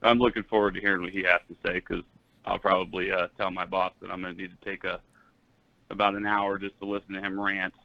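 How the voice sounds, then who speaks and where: A man's voice: low-pitched, little pitch movement, quick pace, vocal fry, dry recording. A man calling in to a sports show, chatting casually over a lo-fi line. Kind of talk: casual chat. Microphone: remote call-in line. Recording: dull, lo-fi call audio.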